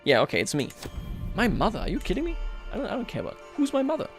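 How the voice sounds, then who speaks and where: Higher-pitched man streaming games, music behind. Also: huge pitch swings, very fast. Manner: chatty, thinking aloud, wry and playful. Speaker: livestream host. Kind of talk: casual live commentary over a strategy game.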